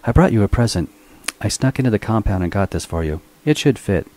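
A man voicing a sharp-tongued woman bounty hunter with a blunt, mocking manner. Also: lowish voice, expressive, brisk, lightly acted. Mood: businesslike.

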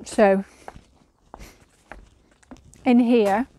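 A woman's voice: on the high side, fairly even intonation, extremely slow, mellow, slight background noise. Chatty woman touring her garden outdoors. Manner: chatty, hesitant, with frequent fillers. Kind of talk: casual walk-through garden tour. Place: outdoors in a home garden and greenhouse.

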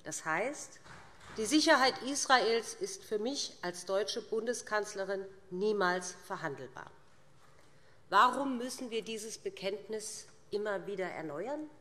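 A middle-aged woman giving a formal, prepared political speech with deliberate, emphatic phrasing, speaking at a lectern microphone as a parliamentarian. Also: medium pitch, expressive, a bit bright. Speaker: parliamentary speaker for a party group. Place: large indoor parliament chamber. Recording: reverberant large hall.

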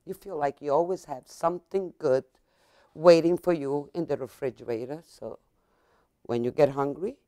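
A woman's voice: deep voice, moderate pace, mellow, dry recording, studio quality. An older woman with an Italian accent, chatting casually while cooking, emphatic and prone to repeating herself. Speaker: cooking show co-host. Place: home-style kitchen, indoors.

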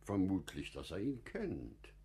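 A wise, teasing merchant in a classical radio drama. He speaks slowly and deliberately with a husky voice, his pitch moving freely as he questions and muses. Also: wildly swooping pitch, dark tone, heavily acted. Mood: urgent, regretful. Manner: theatrical, deliberate, questioning delivery. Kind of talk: German classical radio play acting.

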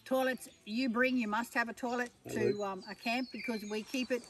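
A woman's voice: a little high, fairly even intonation, moderate pace. A woman with an Australian accent, a farm stay owner explaining the rules in a plain, matter-of-fact way. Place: outdoors in a grassy bush clearing. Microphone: camera mic from a few metres away. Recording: distant outdoor mic, faint noise.

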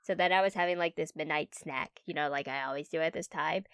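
A woman's voice: deep voice, fast talker, a bit bright, clean tone, no echo, studio quality. A woman voicing a whiny, dim, emotional teenage boy who rambles over-dramatically in an amateur cartoon dub. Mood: rambling, emotional.

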